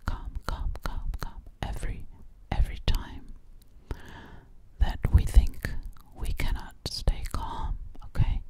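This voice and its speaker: A young woman doing close-up ASMR as a gentle alien girl, whispering breathily and soothingly right at the microphone, repeating words and phrases. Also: takes it slowly. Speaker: ASMR creator. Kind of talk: ASMR personal attention roleplay as an alien girl. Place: small dark indoor space, very close up. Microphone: large microphone right at the lips.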